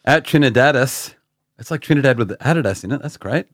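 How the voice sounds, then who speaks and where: A man's voice: mid-range voice, animated, a little fast, no echo, studio quality. A man with an Australian accent co-hosting a podcast in casual chat, joking and self-mocking. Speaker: podcast co-host.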